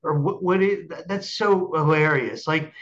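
A male podcast host with a fairly high voice talks in a casual, rambling way, often doubling back on words. He is on a video call with slightly dull, lo-fi sound. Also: a little slow, a bit bright. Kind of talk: casual interview chat. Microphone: remote video call.

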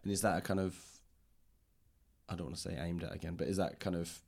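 Male interviewer, low register, casual chat. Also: flat delivery, quick pace, vocal fry, darkish tone. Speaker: podcast host and interviewer. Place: indoors in a public library room.

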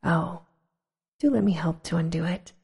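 Eager polite little girl, whispered, breathy, gravelly, put on by a woman. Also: on the low side, normal speed, a little mellow, heavily acted. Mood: pleading.

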